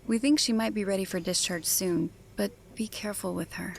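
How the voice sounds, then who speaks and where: Woman acting as calm caring medic, creaky voice, faint background noise, audio drama. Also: mid-range voice, brisk, close to an everyday voice.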